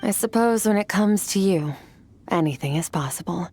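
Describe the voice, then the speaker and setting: A woman voicing an ancient, calm swordswoman who talks in a measured, solemn, philosophical way, in a video game. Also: on the low side, soft background music. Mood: gentle.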